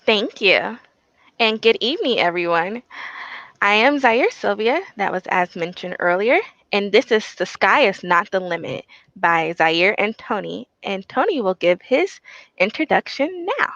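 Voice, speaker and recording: A woman's voice: mid-range voice, lively intonation, a little slow, fairly bright tone, dry recording, lo-fi sound. A young woman from Texas giving an upbeat, enthusiastic talk to teens about her work as a systems engineer. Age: young adult. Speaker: systems engineer, guest speaker.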